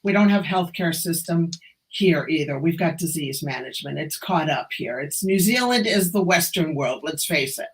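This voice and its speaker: A woman's voice: sits low, lively intonation, moderate pace. Enthusiastic American woman in her sixties. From USA. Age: 60s. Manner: enthusiastic, emphatic. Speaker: medical doctor guest. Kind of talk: conversational interview.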